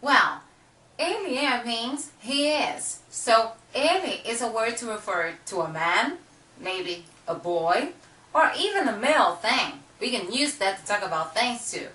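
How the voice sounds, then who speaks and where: Brazilian-accented woman teacher, bright voice, slow and lively, echoey room, mic set back. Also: a little high, far from the mic. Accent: Brazilian Portuguese. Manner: patient, explains slowly and repeats words. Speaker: language teacher. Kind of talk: language lesson.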